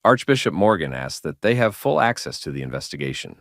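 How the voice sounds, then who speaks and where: Man as formal, puzzle-loving scientist, fairly low and expressive, sci-fi audio drama. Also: brisk, gravelly, no room sound, studio quality, full character voice. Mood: courteous. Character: courteous scientific investigator, keen on puzzles.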